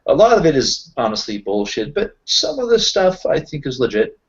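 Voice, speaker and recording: A man improvising in a tabletop roleplaying session, talking haltingly and often searching for his words. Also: fairly high pitch, big pitch swings, slightly rough. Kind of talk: improvised in-character roleplay chat. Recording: dull lo-fi voice recording.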